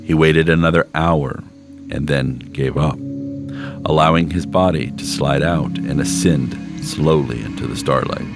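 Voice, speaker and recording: A man reading a literary short story aloud in measured, unhurried scripted narration, with slowed phrases. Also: a bass voice. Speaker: story reader. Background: background score music.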